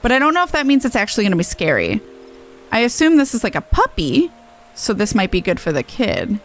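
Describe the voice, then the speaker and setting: A woman livestreaming a game, giving casual, playful and expressive commentary with some swearing. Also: a little high, fairly quick, a little hiss or hum. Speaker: game streamer.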